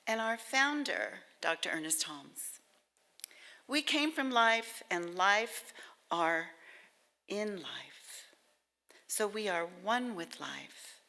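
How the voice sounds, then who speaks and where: A woman reading inspiration and leading spoken prayer at a church service, reverent and deliberate, with long pauses. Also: mid-range voice, lively intonation, gravelly, bright, forceful tone.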